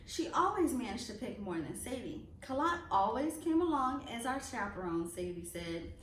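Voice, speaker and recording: A woman reading a children's picture book aloud in an expressive storytelling way. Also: on the high side, normal speed. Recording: echoey room, distant mic, faint noise.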